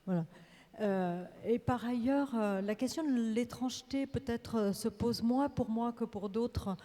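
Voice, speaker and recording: Panel writer, woman, Vietnamese-born; halting delivery, dark tone, long stage reverb. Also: fairly high pitch. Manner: halting, searching for words, many restarts. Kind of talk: panel discussion. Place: large theatre on stage.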